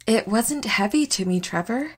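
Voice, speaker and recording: An actress playing a nonchalant, teasing wife who is secretly a superstrong heroine, in an audio comedy drama. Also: female voice, a little high, expressive, normal speed, slightly rough. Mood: breezy.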